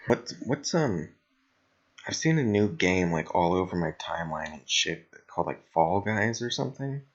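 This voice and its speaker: A young man co-hosting a podcast in blunt, rambling, sweary casual chat, with a slightly raspy voice. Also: deep voice, very animated, moderate pace, dull audio. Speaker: podcast co-host.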